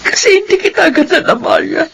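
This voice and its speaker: A woman's voice: very high voice, lively intonation, fast, vocal fry, fairly bright tone, slight background noise, heavily acted. A woman acting a loving, apologetic middle-aged mother in a Filipino radio drama, with a tender maternal manner. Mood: remorseful.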